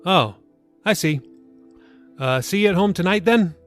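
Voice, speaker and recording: Man acting upbeat fatherly pastor, raised pitch. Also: expressive, a little slow, soft background music. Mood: teasing, coaxing. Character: warm, teasing middle-aged pastor and father. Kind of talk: audiobook character dialogue.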